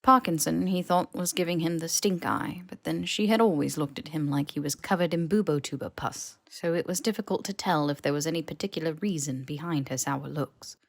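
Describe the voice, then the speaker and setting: A woman reading fiction as a scripted audiobook narration, in an expressive, wry storytelling manner. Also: low voice, brisk, gravelly, few pauses, no echo, studio quality. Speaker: podfic narrator.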